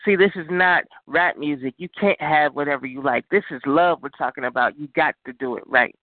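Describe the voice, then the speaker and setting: A man's voice: fairly high pitch, a little fast, dry recording. A man calling in to recite a spoken word poem with rhythmic wordplay, heard over a phone line. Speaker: call-in poet. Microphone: phone call.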